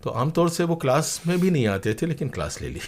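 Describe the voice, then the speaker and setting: A man's voice: fairly high pitch, very animated, a little fast. An older man, telling stories conversationally as an interview guest, with digressions and acted-out quotes. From India. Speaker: interview guest, broadcaster and playwright. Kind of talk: conversational anecdotal storytelling.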